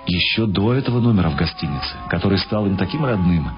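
Low-voiced man narrating over music. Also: animated, quick pace. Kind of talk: scripted literary narration of a radio drama. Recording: dull lo-fi radio sound. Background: music behind the voice.

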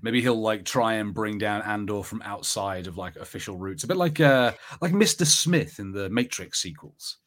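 A man chatting casually as a podcast host, rambling conversationally with halting, restarted sentences. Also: lowish voice, lively intonation, fairly bright tone. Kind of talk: casual chat.

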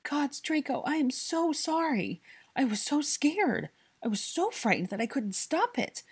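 A woman's voice: high-pitched, big pitch swings, unhurried, voice pushed up. A woman voicing an emotional young witch in an audiobook, urgent, tearful and pleading. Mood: tearful, apologetic. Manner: tearful, breathless, urgent outbursts.

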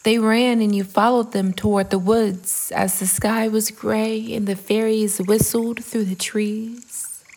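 A woman narrating a poetic audio drama as a scripted piece, with dramatic, deliberate phrasing and pauses. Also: medium pitch, a little mellow, breathy edge, rough recording. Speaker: poet and narrator.

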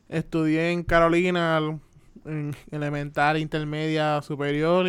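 A man's voice: fairly high pitch, a bit bright, clear, resonant tone. A man in his twenties with a Puerto Rican accent, hosting a casual solo podcast and chatting loosely, with lots of "este" and "pues" fillers. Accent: Puerto Rican Spanish. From Puerto Rico. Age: 20s. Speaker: podcast host.

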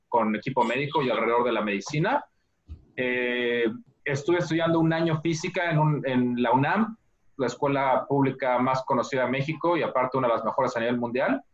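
Man, Mexican accent, 30s, interview guest, frequent fillers, mid pitch, muffled audio. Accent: Mexican Spanish. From Mexico City. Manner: many fillers like "este" and "¿no?". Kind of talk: casual interview, storytelling. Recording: dull lo-fi audio.

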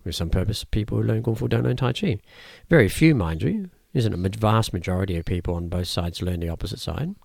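A man whose first language is Cantonese, a martial arts teacher explaining his teaching in a thoughtful interview, often pausing and restarting. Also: on the low side, lively intonation, creaky, a little mellow, no room sound, studio quality. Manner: measured, thoughtful, with frequent fillers and restarts. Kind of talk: conversational interview answers.

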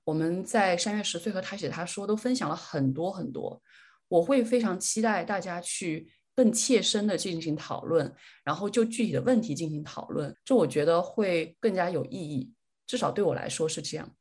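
A woman with a lowish, husky voice hosts a podcast discussion. She talks earnestly and reflectively, in a casual conversational way. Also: normal speed, a little mellow. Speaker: podcast host steering discussion.

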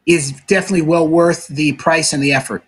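Guest spot: high-pitched male sports broadcaster, talkative, earnest, a few "uh"s. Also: steady tone, a bit bright, husky. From New York City, Brooklyn. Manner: talkative, earnest, measured with filler pauses. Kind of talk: casual interview answers.

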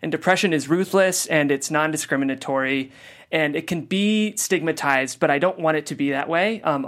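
A man's voice: fairly high pitch, fairly bright tone. Earnest man hosting a podcast discussion. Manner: earnest, many "like" and "um" fillers. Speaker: podcast host. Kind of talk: casual discussion after a table read.

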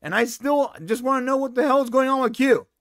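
A man co-hosting a podcast, giving an opinionated, animated review in casual chat. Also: very high-pitched, brisk, dry recording, clean studio sound. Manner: animated, opinionated, exasperated asides. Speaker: podcast co-host.

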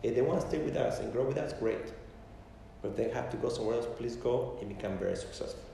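A man's voice: mid-range voice, quick pace, gravelly, warm tone. A man talking casually in an earnest, encouraging way. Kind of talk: casual conversational talk. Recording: echoey hall, distant mic, faint noise.

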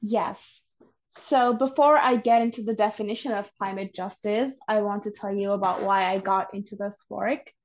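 A woman's voice: mid-range voice, fairly even intonation, moderate pace. A young woman in her twenties from Bangladesh, a climate organizer explaining her views earnestly as a podcast guest. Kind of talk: podcast interview. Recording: dull lo-fi audio.

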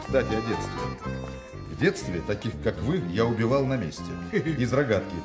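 An actor playing a witty, self-assured swindler in a radio play, with mocking, theatrical, mock-solemn pronouncements. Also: male voice, mid-range voice, sing-song, normal speed, natural voice. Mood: mocking, grand. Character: witty, self-assured swindler, a grown man, grandly ironic. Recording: studio radio play with music.

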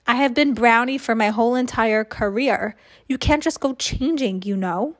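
A woman voicing an excitable, dramatic little schoolgirl in a children's storybook, with emphatic delivery and childish grammar. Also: fairly high pitch, moderate pace, close to an everyday voice. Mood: indignant. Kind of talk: children's storybook read aloud.

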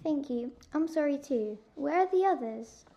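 Woman as a high, soft-toned plucky orphan girl. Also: animated, moderate pace, muffled recording. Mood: friendly. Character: friendly, plucky young orphan girl, newcomer.

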